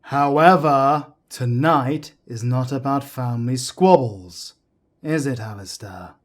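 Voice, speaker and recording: A man playing a calm mediating elder. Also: mid-range voice, slow, natural voice. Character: calm male council elder, peacemaker. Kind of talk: audio drama acting.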